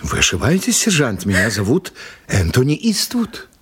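An actor playing a suave, composed gentleman writer in a radio drama, speaking with courteous irony. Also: mid-range voice, huge pitch swings, a little hiss or hum. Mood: composed, polite. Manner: courteous, ironic, unhurried. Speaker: actor in an audio play. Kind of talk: Russian radio drama acting.

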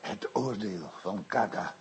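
A man's voice: lowish voice, expressive, slow pace, a little hiss or hum. A man playing a meek, earnest foreigner in a radio drama, speaking solemnly in broken, formal grammar. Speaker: actor in a radio play. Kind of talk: Dutch radio drama acting.

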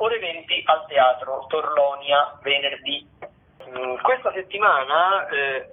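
An Italian man, a theatre critic giving informal radio listings, often halting and searching for words. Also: medium pitch. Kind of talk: spoken weekly theatre listings. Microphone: phone call. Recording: thin narrow-band telephone line.

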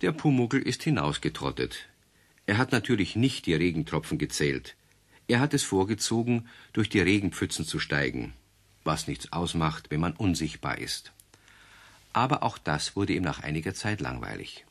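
A man narrating a children's radio play in a calm storytelling cadence. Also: sits low, normal speed.

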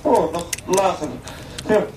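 Airline captain, echoey, distant, hesitant, languid, rambling, radio play. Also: male voice, high-pitched, takes it slowly, darkish tone. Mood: languid, distracted. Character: languid, distracted airline captain making cabin announcements. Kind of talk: satirical radio play acting. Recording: distant echoey voice, faint cabin noise.

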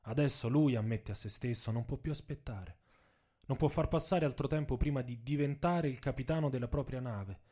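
A man's voice: medium pitch, normal speed, dark tone. A man reading his own literary text aloud as dramatic narration.

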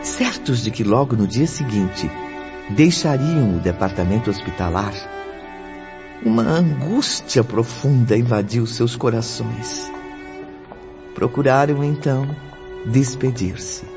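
A man's voice: mid-range voice, lively intonation, slow pace. A man delivering scripted narration for a radio drama. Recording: muffled audio under music.

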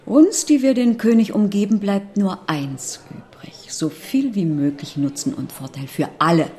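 An actress playing a worldly, scheming society countess, confiding and insinuating, in a German radio drama. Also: female voice, medium pitch, normal speed, mellow, close to an everyday voice. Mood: confiding, persuasive.

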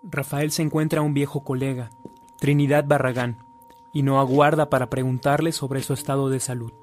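A man giving formal, measured scripted narration for a radio drama. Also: mid-range voice, little pitch movement, faint music behind.

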